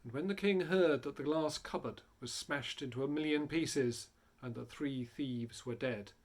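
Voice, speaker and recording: A man reading a story aloud in a theatrical, dramatic way, building and hushing for effect. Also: mid-range voice, measured pace. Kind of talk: animated dramatic storybook narration.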